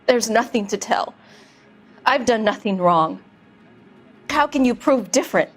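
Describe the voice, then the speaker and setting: Young shopgirl witness, high voice, slow hesitant delivery, evasive, nervous, crime game. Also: animated, soft background music. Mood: defensive. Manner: hesitant, guarded. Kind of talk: dramatic video game acting, 1940s crime drama.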